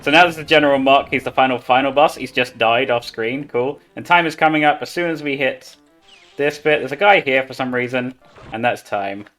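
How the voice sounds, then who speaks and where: A man's voice: mid-range voice, a little fast, fairly bright tone, soft background music. A man casually commentating on his own live speedrun as he plays.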